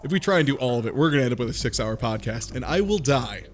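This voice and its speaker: A man talks quickly as a podcast panelist in casual discussion. His voice sits in the middle range, with light music behind it. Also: mid-range voice, lively intonation.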